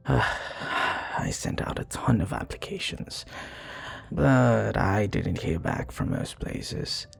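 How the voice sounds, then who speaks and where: A man playing a charming, courteous, flirty vampire bartender in an intimate ASMR roleplay, speaking in a breathy, gravelly voice that often drops to a whisper. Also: sits low, exaggerated voice. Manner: suave, courteous, teasing, unhurried.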